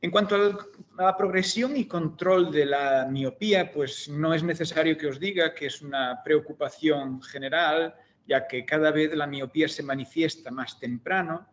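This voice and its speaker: A middle-aged man from Galicia with a Galician Spanish accent, giving an online seminar as guest lecturer in a measured, hesitant way with frequent fillers and restarts. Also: on the high side. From Galicia, Spain. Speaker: guest lecturer, optometry researcher. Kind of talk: academic seminar talk.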